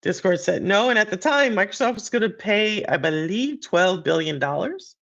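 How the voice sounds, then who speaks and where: A man hosting a casual gaming podcast, his throat sore and his voice hoarse and deeper than usual, talking in a rambling way with many fillers and restarts. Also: animated, moderate pace, a bit bright, lo-fi sound. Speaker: podcast host and streamer. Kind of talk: casual gaming and business commentary.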